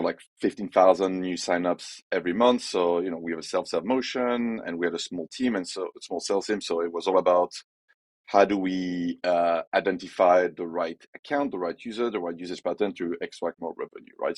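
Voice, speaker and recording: A man's voice: sits low, no echo. A man from France with a French accent, a podcast guest speaking casually and opinionatedly about his work, peppering his talk with "like" and "you know". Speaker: podcast guest, head of growth. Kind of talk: casual business conversation.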